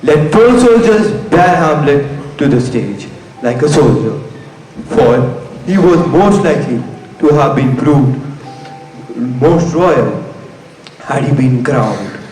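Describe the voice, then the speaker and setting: A man's voice: on the high side, sing-song, slow, long pauses, a little mellow, slight background noise. A male actor playing a formal, commanding prince in stage Shakespeare, recorded from far off in an echoey hall. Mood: grave, commanding. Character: stately young conquering prince, formal and commanding.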